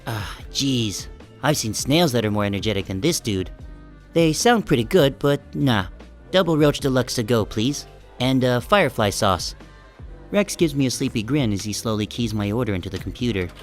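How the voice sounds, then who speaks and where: A man playing a short, self-conscious sheep who narrates an audiobook in a wry, deadpan, casual first-person style. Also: mid-range voice, moderate pace, soft background music, close to an everyday voice. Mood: wry, musing.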